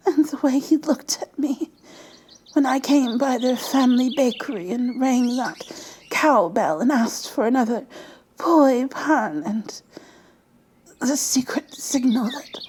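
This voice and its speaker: A woman voicing a frail, wistful elderly woman who tells wartime memories in a breathy, halting ASMR storytelling whisper. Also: high voice, a little mellow, exaggerated voice. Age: old. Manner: halting, repeating words, trailing pauses.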